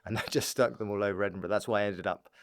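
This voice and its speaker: A man's voice: on the low side, fairly even intonation, very fast, slight background noise. A man telling comic stories as a guest in a casual podcast chat, laughing as he talks. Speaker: podcast guest, stand-up comedian.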